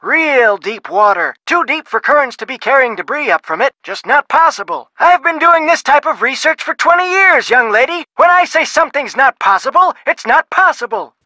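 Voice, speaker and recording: A blustery folksy researcher. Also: male voice, very high-pitched, expressive, moderate pace, gravelly, hardly any pauses, bright, forceful tone, no room sound, exaggerated voice. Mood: gruff. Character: gruff folksy older male shipwreck researcher. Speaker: phone contact in a game.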